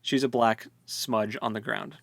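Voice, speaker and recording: A man's voice: lowish voice, fairly even intonation, measured pace, creaky, fairly bright tone, dry recording. A man co-hosting a podcast, retelling a story in casual, slangy, jokey conversation. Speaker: podcast co-host. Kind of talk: casual book discussion chat.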